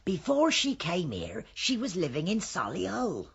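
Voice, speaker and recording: An actress playing a chatty, forthright village cleaner in a radio drama, confiding and emphatic, husky, with an English accent. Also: female voice, fairly low pitch, wildly swooping pitch, measured pace, muffled recording, exaggerated voice. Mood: gossipy.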